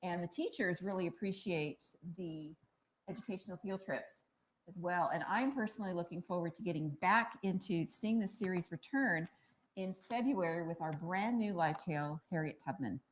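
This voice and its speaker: An American woman, a theater program director, giving measured, informative answers in a prepared interview on stage. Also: fairly low pitch, a little hiss or hum, rough recording.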